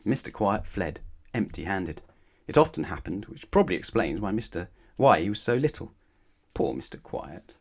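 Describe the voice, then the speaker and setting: Animated lowish-voiced man reading a bedtime story. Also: moderate pace, creaky. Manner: addresses young listeners with questions. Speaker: storybook reader. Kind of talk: expressive children's bedtime story narration.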